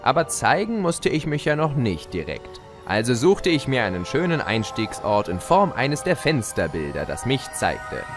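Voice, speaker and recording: A man playing a gloating, mischievous spirit of chaos who tells his tale in a theatrical, mocking, drawn-out way for an audio drama. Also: medium pitch, big pitch swings, music in the background, lightly acted. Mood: smug storytelling. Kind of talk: German audio drama narration and character acting.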